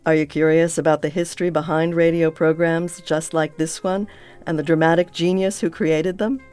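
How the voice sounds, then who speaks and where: Woman doing a podcast promo, smooth crisp voice, faint music underneath. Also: sits low, flat delivery, normal speed, a little mellow. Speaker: promo announcer. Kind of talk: polished scripted podcast promo.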